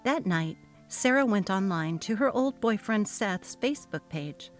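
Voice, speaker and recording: A woman's voice: mid-range voice, lively intonation, unhurried, faint music behind. A woman narrating a short scripted story as a dramatic reading.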